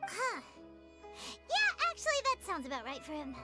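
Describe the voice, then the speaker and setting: A woman's voice: very high voice, wildly swooping pitch, moderate pace, over loud music, heavily acted. A woman voicing a small, eager, childlike fairy companion who chirps her lines in a video game. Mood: chirpy. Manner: childlike, refers to self by name. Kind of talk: video game cutscene acting.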